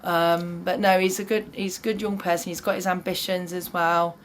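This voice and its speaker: A woman with an English accent, an advocate, talking chattily and warmly about the young man she supports, with frequent fillers. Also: on the low side, little pitch movement, normal speed, slight background noise. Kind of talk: interview testimony.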